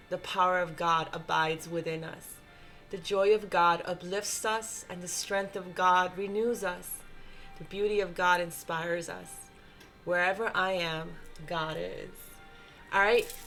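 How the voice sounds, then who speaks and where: A woman with a blocked, stuffy nose speaks slowly and unhurriedly, her voice sitting a little low. She lays out tarot cards with soft reassurance, with clear music playing behind her. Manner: gentle, reassuring, often checks in with "okay?". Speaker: tarot reader. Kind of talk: unscripted spiritual tarot reading.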